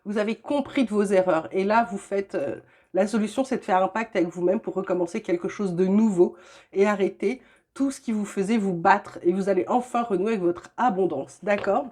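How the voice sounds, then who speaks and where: An older woman with a head cold gives her weekly tarot guidance from home. She talks in a chatty, reassuring way and often pauses with little hesitations. Her voice sits at an ordinary middle pitch for a woman. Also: a little mellow. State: has a cold. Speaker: tarot reader.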